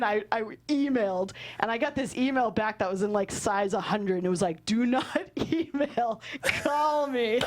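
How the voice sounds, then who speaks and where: A woman with a fairly high voice chats loosely as a guest storyteller. She swears freely, peppers her sentences with "like" and quotes people as she goes. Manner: animated quoting, sweary, laughs often, says "like" a lot. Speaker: guest screenwriter and filmmaker. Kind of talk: casual comedic storytelling. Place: podcast studio.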